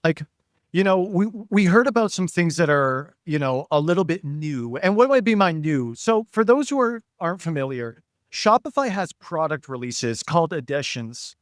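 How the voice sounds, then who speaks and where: A man's voice: on the high side, very animated, normal speed, dry recording, studio quality. A man moderating a panel, explaining things conversationally and addressing the audience directly. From Toronto, Canada.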